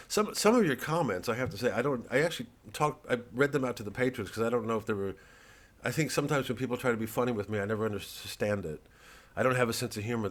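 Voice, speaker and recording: A low-pitched male comedian talks casually to his online audience. He rambles and digresses, often halting to find his thread, with a self-deprecating manner. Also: a bit bright.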